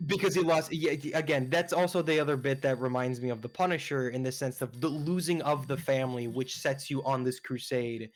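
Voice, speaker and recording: A man chatting casually as a guest on a game livestream, rambling and excitable, on a noisy mic with clicks. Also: medium pitch, fairly quick, few pauses.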